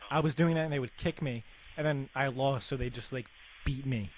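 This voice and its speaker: A young man making a deadpan prank phone call in a rambling, hesitant, casual way full of filler words. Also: mid-range voice, brisk. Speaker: prank caller. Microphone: telephone. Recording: phone call with faint background noise.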